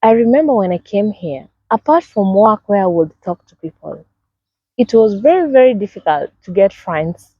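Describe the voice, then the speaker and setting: A young woman with a Kenyan accent talks straight to the camera, sharing her own experiences in a casual, lively way and playing out the greetings with sing-song bounce. The recording is dry, with a slightly dull, muffled top end. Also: medium pitch, moderate pace, slightly rough, no echo. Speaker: vlogger. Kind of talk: casual personal storytelling to camera.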